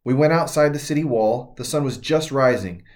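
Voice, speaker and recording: A fervent woman disciple pours out her shaking grief and wonder in an urgent, intimate confession, as a man reads her in the first person. Her voice sits at an ordinary middle pitch. It is a devotional reading told in character. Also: moderate pace, darkish tone, close to an everyday voice. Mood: distraught. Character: shaken grieving woman disciple, fervent and awestruck.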